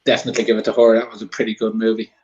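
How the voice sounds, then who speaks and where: A man chatting casually as a panel guest, halting and repeating his words. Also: fairly low pitch, level tone, a little mellow. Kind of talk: casual chat. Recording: dull lo-fi audio.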